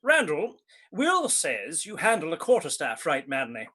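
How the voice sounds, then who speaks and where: An actor playing a jovial, bold outlaw leader in a radio play, with a hearty, teasing manner. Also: male voice, a little high, huge pitch swings, measured pace, bright, forceful tone, husky, exaggerated voice. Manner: hearty, teasing, laughing outbursts. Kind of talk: stage radio play acting.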